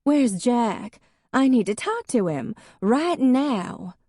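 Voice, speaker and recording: A woman's voice: a little high, big pitch swings, slow pace, warm tone, no room sound, studio quality, voice pushed up, full character voice. A woman voicing a drunk, furious woman who slurs and shrieks. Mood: demanding. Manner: slurring, shrieking.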